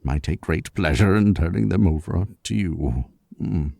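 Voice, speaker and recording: Man playing a low, gravelly old professor. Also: darkish tone, no room sound, studio quality. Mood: formal, cautious. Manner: formal, deliberate, musing. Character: courtly, absent-minded older professor, brooding father. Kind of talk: 1940s-style radio mystery acting.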